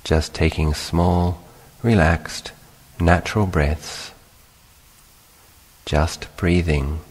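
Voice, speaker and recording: Very deep, slow-speaking male meditation guide. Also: husky. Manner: calm, unhurried, instructional with long pauses. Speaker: meditation teacher, monk. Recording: long hall-like reverberation.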